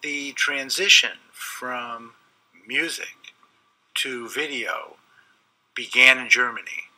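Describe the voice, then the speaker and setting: A man in his sixties giving a documentary interview as an art expert, speaking in a measured, explanatory way. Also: medium pitch, a little hiss or hum, low-quality recording. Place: cluttered indoor studio. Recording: loud music under the interview.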